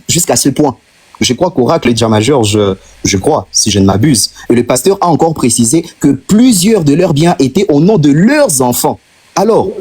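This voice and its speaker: A man from eastern Congo with a Congolese French accent, speaking at a middling pitch with a husky, slightly breathy voice. He argues his case on a live stream, firing off rhetorical questions and hammering his points home by repeating them. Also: a little mellow. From Democratic Republic of the Congo. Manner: rhetorical, insistent, repeats phrases for emphasis. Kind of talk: impassioned argument on a live stream.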